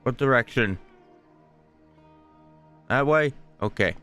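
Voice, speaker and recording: Laid-back, slow-talking gaming commentator man. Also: medium pitch, a bit bright, smooth, soft background music. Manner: laid-back, dry, short asides. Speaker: gaming YouTuber. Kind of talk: casual gameplay commentary.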